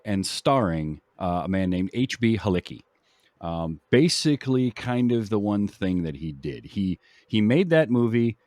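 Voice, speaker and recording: A man hosting a film podcast, casually explaining background in a halting, explanatory way. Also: on the low side, a little mellow, no echo.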